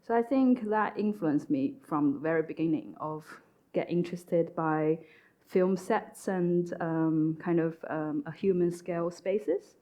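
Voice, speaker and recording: Woman, from China, low pitch, mellow tone, interior designer, thoughtful, panel talk. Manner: thoughtful, halting. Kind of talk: panel discussion. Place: stage at a design event.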